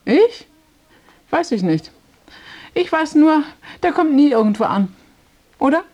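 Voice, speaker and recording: An actress playing an exasperated, bewildered train passenger in a radio drama, querulous and insistent. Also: female voice, high-pitched, big pitch swings, measured pace, darkish tone, full character voice. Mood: flustered.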